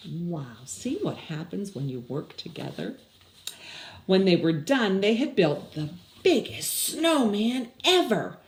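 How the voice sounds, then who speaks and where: A woman's voice: medium pitch, sing-song, slow pace. An older woman hosting story time for children, reading and chatting expressively with questions for young listeners. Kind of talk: picture-book read-aloud and chatting to children. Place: small indoor room with blinds.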